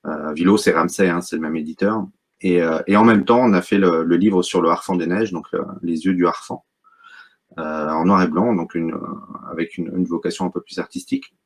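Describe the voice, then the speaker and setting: A man's voice: deep voice, moderate pace. A middle-aged man from Brittany, a wildlife photographer, talking casually and hesitantly in an interview, full of fillers and restarts. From Brittany, France.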